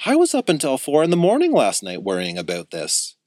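A man voicing an excitable boy who plans a wild party, talking eagerly and in a rush. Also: mid-range voice, brisk, dry recording, clean studio sound. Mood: excited. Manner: eager, breathless schemer.

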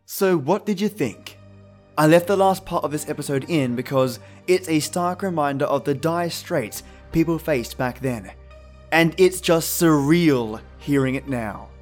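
A man's voice: a little high, a little slow, soft background music. A man hosting a podcast with casual commentary addressed to listeners. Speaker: podcast host.

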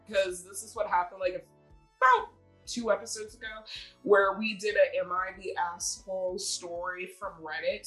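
Bright-voiced woman hosting a casual podcast. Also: medium pitch, low-quality recording. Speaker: podcast host. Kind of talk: casual chat with reading aloud of online stories.

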